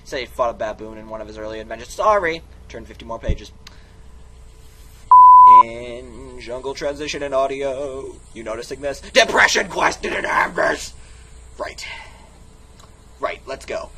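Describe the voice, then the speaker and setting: A man's voice: medium pitch, expressive, moderate pace, long pauses, pushed and bright. Male amateur narrator, mock-dramatic storyteller who keeps cutting in on himself, noisy home recording. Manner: theatrical, comically self-interrupting. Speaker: reader and player of a text game. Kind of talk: mock-dramatic storybook reading with casual asides.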